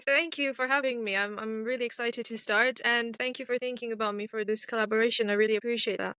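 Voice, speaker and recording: Smooth-voiced young woman, chatty guest. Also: a little high, fairly even intonation, fairly quick, pushed and bright, no room sound. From Romania. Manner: open and chatty, frequent fillers. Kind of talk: casual interview chat.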